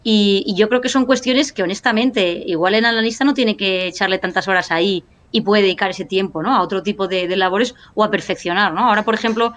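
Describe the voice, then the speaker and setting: A woman's voice: mid-range voice, brisk, few pauses, a bit bright. A woman lecturer and analyst talking in a casual panel discussion, thinking aloud with restarts and tag questions. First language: Spanish. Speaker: university lecturer and analyst guest.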